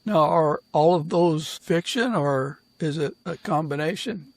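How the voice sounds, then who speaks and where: A man hosting a casual interview podcast, speaking in an informal, halting way. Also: a little high, no room sound. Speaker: podcast host and author.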